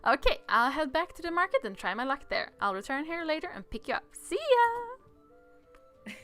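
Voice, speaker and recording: A woman reading as a brisk, animated young princess. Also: sits high, pitched above the performer's own voice. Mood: brisk reassuring. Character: bold, bossy fairy-tale princess, protective of her companion. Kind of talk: reading visual novel dialogue aloud. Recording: music playing behind the voice.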